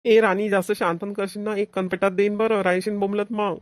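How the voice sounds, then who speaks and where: A woman's voice: mid-range voice, little pitch movement, brisk, no echo, lightly acted. Woman voicing a bossy cartoon boy, dry studio sound. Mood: scolding. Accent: Vidarbha Marathi. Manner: domineering, scolding. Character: bossy, selfish older-brother boy. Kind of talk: amateur cartoon comedy dialogue.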